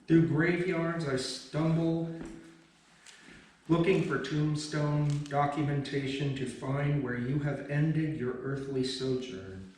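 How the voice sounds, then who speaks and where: A man's voice: fairly high pitch, fairly even intonation, takes it slowly, darkish tone. Man reciting in a very echoey space. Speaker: guest reader. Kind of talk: poetry reading at a live event. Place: indoor event space, recorded from a distance. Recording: very echoey room, distant mic, faint noise.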